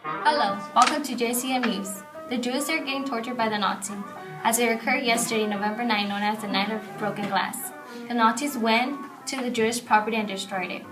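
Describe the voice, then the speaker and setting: A woman's voice: on the high side, a little fast, lightly acted. A woman playing a newsreel news anchor, reading scripted news in an amateur production. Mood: formal announcing. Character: female 1930s newsreel news anchor named Jackie. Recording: distant mic under loud music.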